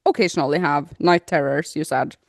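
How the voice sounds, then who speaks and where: A woman's voice: low voice, fairly even intonation, fairly quick, gravelly, no room sound, studio quality. Chatty woman co-host asking rambling questions. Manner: rambling questions with many restarts and laughs. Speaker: podcast co-host. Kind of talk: casual chat.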